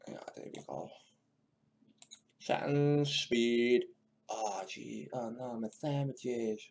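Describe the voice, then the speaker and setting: A man's voice: medium pitch, takes it slowly, vocal fry, darkish tone, a little hiss or hum. A man hosting a video, muttering to himself while he fiddles with clips, with mocking and swearing asides. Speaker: video host reacting to news clips. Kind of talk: casual muttered commentary.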